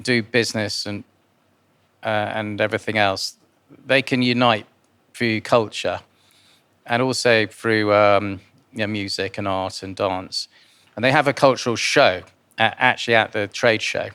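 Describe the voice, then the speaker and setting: Low, clear-voiced man, halting interviewee. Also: fairly even intonation, a bit bright. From born in Guyana. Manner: hesitant, halting delivery with repeated words. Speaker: interviewee, philanthropist and investor. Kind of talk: informal interview answers.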